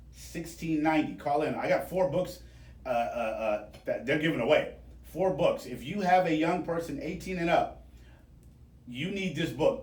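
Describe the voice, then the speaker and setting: A man's voice: fairly high pitch, a little mellow. A man hosting a casual radio interview, talking informally to listeners and repeating points for emphasis. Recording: roomy, echoey sound.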